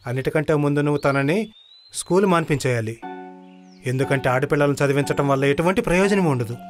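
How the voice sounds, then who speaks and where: A man's voice: on the high side, fast, faint music behind, natural voice. A man playing an opinionated, old-fashioned village headman who gives preachy advice in a moral story. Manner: advising, preachy. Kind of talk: dramatized moral story voice acting.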